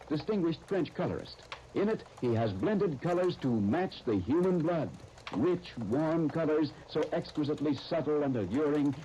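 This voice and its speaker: Animated, mellow 1930s American radio announcer man. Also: fairly high pitch, lo-fi sound. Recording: noisy 1930s radio broadcast recording.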